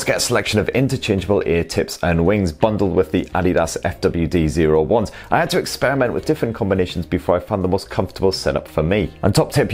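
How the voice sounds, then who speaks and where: A man's voice: deep voice, darkish tone. A British man in his thirties giving a chatty, slangy product review to camera on a lapel mic indoors. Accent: British English. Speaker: tech reviewer. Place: indoor room at home.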